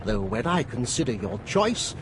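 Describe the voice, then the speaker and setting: An actor playing a genial, fence-sitting gentleman philosopher in a period radio drama, with a British accent and urbane, wordy wit. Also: mid-range voice, big pitch swings, normal speed, background noise, lightly acted. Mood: wry, genial.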